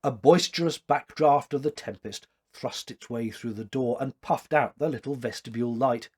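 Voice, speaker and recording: A man in his fifties reading a literary story aloud with expressive narration. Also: medium pitch, breathy edge. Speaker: story reader. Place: small domestic room indoors.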